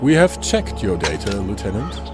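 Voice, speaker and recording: An actor playing a stern, threatening Soviet commander in a video game cutscene. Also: male voice, fairly low pitch, very animated, moderate pace, music in the background. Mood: probing, commanding.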